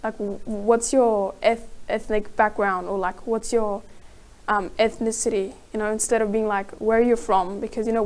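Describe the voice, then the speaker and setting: A woman's voice: a little high, darkish tone. A young woman from South Sudan whose first language is Dinka, speaking casually on a panel with many "like" fillers. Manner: chatty, heavy use of "like" fillers.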